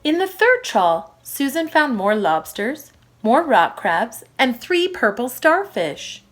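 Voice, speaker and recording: Lady reading a kids book out loud, really animated pitch, teacher style, stresses words for little ones. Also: slow. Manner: expressive, emphatic phrasing for young children. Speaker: primary school teacher reading aloud. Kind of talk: children's storybook read-aloud.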